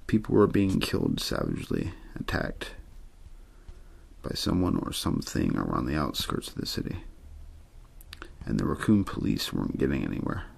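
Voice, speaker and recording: Male ASMR story reader: very creaky low voice, understated bedtime narration, no theatrics. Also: a little hiss or hum. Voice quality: gravelly, husky. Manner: understated, untheatrical delivery. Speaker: amateur audiobook reader.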